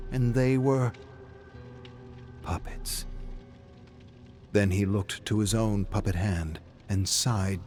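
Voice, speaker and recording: A man reading dramatic scripted fiction as narrator, in a measured, suspenseful storytelling manner. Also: mid-range voice.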